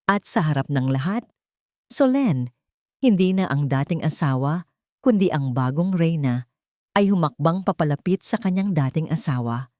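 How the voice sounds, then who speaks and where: A woman with a Filipino accent narrates a scripted audio drama in a measured, solemn, theatrical way. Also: low-pitched, lively intonation, darkish tone, no echo, studio quality.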